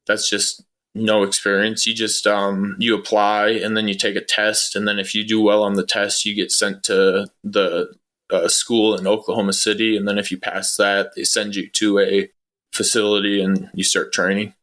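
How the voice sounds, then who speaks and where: Low-pitched man giving casual interview answers. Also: level tone, normal speed, fairly bright tone. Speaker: interview guest, former air traffic controller.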